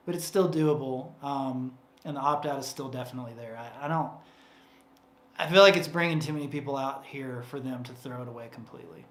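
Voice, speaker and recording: A man's voice: medium pitch, a little fast, a bit bright. A man co-hosting a casual livestream Q&A, rambling and chatty with lots of fillers and restarts, his voice getting tired from talking. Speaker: co-host of a livestream Q&A. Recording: roomy echo.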